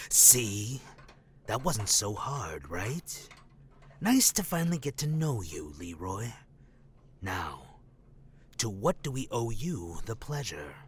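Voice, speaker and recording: An actor playing a cocky, playful undercover operative in an audio drama, with a theatrical, teasing delivery. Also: male voice, fairly low pitch, very animated, slight background noise. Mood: cocky, taunting. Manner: theatrical, joking, drawn-out delivery.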